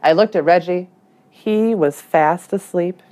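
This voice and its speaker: A woman's voice: fairly low pitch, measured pace. A woman reading a children's story aloud as a teacher, expressively and acting out the dialogue. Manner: animated, acts out the dialogue.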